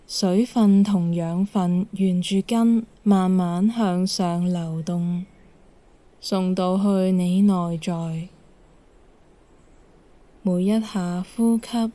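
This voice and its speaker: A woman leads a guided meditation as an instructor, calm and measured, giving gentle instructions with pauses. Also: fairly low pitch.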